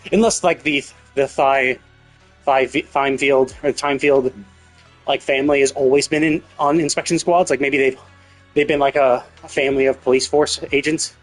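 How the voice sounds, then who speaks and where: A man's voice: mid-range voice, fairly even intonation, normal speed, soft background music. A man giving casual live game stream commentary, musing aloud in a rambling way and rephrasing as he goes.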